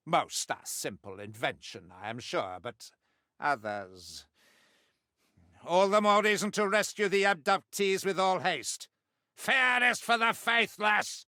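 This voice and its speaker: An actor playing a zealous, righteous witch hunter in a video game, pompous and grim, with theatrical, sermonizing delivery and deliberate diction. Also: on the high side, sharp tone, no room sound, studio quality, full character voice. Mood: grim, foreboding, then fervent, zealous. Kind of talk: scripted dramatic video game mission briefing.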